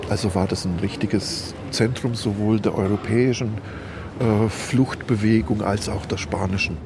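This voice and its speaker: An older man, a city guide, giving a spontaneous explanation in a halting way, searching for his words. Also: fairly low pitch. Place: outdoors in a city. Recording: noisy outdoor city street recording. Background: urban noise, vehicles.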